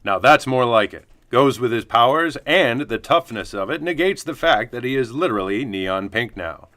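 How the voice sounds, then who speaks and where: A man playing an earnest, opinionated news anchor in a comic audio drama, presenting in a polished deadpan. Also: medium pitch, moderate pace, lightly acted. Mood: deadpan, judging.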